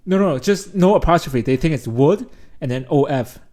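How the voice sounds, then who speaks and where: A man's voice: a little high, animated, normal speed, warm tone. A young man from Hong Kong, a native Cantonese speaker, co-hosting a podcast in laid-back casual chat and explaining points patiently. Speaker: podcast co-host.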